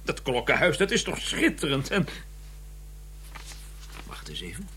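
A man's voice: mid-range voice, huge pitch swings, measured pace, pushed and bright, heavily acted. An actor playing a courteous, earnest academic in a radio drama, hesitant and emphatic, repeating his words when flustered. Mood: puzzled, defensive. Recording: clearly noisy, humming old recording.

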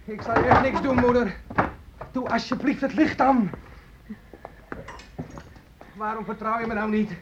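High-pitched, unhinged fugitive man. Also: steady tone, a little slow, long pauses. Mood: pleading, urgent. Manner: pleading, threatening, volatile. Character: unhinged armed fugitive son clinging desperately to his mother. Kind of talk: radio drama acting. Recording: dull, noisy old radio play recording.

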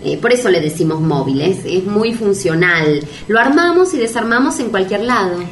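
An actress playing a chatty, ingratiating beauty-cream promoter with a Buenos Aires accent, effusive and polite, repeating herself in comic sales patter. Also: female voice, medium pitch, sing-song, moderate pace, close to an everyday voice. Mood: salesy. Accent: Buenos Aires Argentine. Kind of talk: comic radio sketch acting. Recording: distant, echoey, faint background noise.

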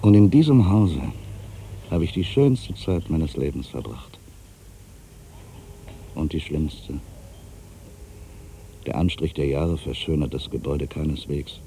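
A man playing an ageing, solitary writer who broods on his past in a deliberate, reflective literary monologue. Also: rumbling low, expressive, mellow, a little hiss or hum, exaggerated voice. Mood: bitter, melancholy. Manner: brooding, deliberate, with pensive pauses. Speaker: monologue performer. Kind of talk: literary dramatic monologue, reflective first-person narration.

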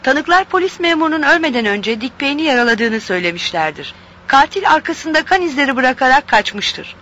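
An actress playing a formal radio news announcer reading a scripted bulletin within a radio drama. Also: female voice, sits high, lively intonation, a little fast, a bit bright, a little hiss or hum. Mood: formal, urgent.

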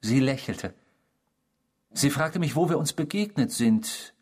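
Casting: creepy obsessive confessor for radio drama, husky mid-range voice, theatrical, savouring, unrepentant. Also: male voice, medium pitch, lively intonation, a little slow, a little mellow. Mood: wistful, savouring. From the Netherlands (character). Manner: theatrical, savouring, unrepentant, mimicking the people quoted. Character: eerie, obsessive male stranger confessing a past crime. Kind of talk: radio drama dialogue, first-person storytelling.

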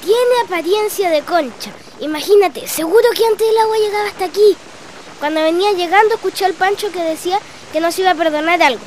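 A woman's voice: very high voice, fairly quick, a little hiss or hum. A woman playing a curious, outspoken little Chilean boy in a radio drama. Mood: eager.

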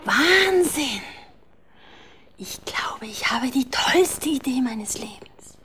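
High, lively, mischievous girl. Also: slow pace, breathy edge, heavily acted. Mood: excited, conspiratorial. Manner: gleeful, conspiratorial. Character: clever, excitable, mischievous village girl. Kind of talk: children's radio drama acting.

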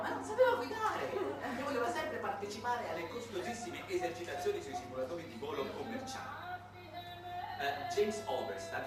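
A man performing a satirical theatrical monologue with ironic, halting, dramatic delivery. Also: high-pitched, distant mic. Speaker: monologue actor and narrator. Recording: very echoey room, recorded from afar.

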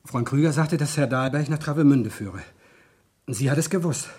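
An actor playing a cornered, guilt-ridden office clerk in a 1970s German radio crime drama, speaking in a halting, pleading manner. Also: male voice, medium pitch, a little mellow, breathy edge, lightly acted. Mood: remorseful, confessing.

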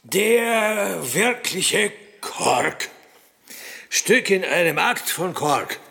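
An actor playing a polite, helpful poet in a radio play, declaiming stage lines in a deliberate way. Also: male voice, high-pitched, lively intonation, vocal fry, pushed and bright, heavily acted. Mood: declaiming, dry.

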